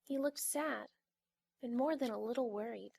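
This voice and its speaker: A woman's voice: on the high side, moderate pace, vocal fry, a little mellow, breathy edge, no echo. A woman playing a solemn narrator, telling a scripted fantasy story for a game fan dub.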